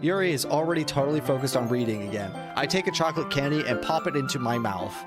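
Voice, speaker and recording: A man's voice: mid-range voice, lively intonation, fast talker. A man streaming a game, reading a visual novel aloud and adding casual, joking commentary. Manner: joking, self-interrupting asides. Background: loud game music.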